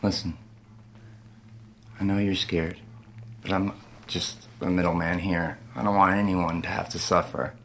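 A man acts out a shady middleman in a drama, speaking in a low voice. He is earnest and persuasive with his captive, in informal language, over faint noise. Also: flat delivery, measured pace, long pauses, fairly bright tone. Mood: earnest, coaxing. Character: tense male criminal middleman, coaxing his frightened captive. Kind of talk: dramatic script performance.

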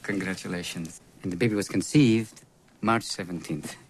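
Nervous, bumbling Russian doctor. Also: male voice, low voice, normal speed, rough recording. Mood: awkward, eager. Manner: broken English with muddled words and misplaced formality. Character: nervous bumbling bespectacled Russian obstetrician, eager, easily flustered. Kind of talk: comedic film acting.

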